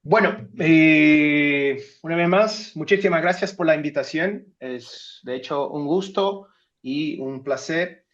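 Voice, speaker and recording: A man's voice: a little high, takes it slowly, a bit bright. A Brazilian man whose first language is Portuguese, giving an academic talk in Spanish as a guest speaker, speaking hesitantly and checking in with his listeners. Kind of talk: academic talk over video call. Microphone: video call. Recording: dull video call audio.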